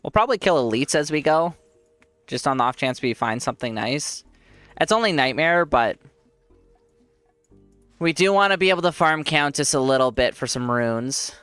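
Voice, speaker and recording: A congested man streams his gameplay in a loose, rambling way, restarting sentences and laughing a lot. His voice sits a little high for a man and sounds bright and smooth. The recording is dry and close, with faint music underneath. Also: animated, moderate pace, long pauses, no echo. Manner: rambling, self-correcting, laughs often. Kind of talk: casual gameplay commentary.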